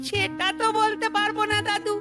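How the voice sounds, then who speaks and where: A woman's voice: very high voice, level tone, brisk, over music. A woman voicing an eager, loyal small village child in a Bengali cartoon.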